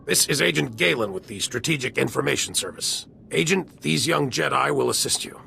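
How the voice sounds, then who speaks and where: An actor playing a gruff, forceful army general with a gravelly voice in a video game. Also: male voice, medium pitch, a little fast. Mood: brisk, firm. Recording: faint background noise.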